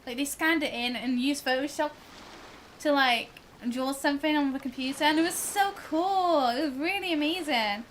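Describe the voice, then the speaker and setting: A woman chatting casually and excitably while livestreaming a game, in a bubbly, giggly manner. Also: sits high, normal speed, a little hiss or hum. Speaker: live streamer. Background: game music.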